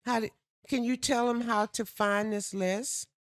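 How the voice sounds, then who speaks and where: An older woman from the States, chatting with her daughter in a soft, gentle, unhurried way. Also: a little high, fairly even intonation, no room sound, clean studio sound. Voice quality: soft-spoken. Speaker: mother and co-host. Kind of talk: casual chat.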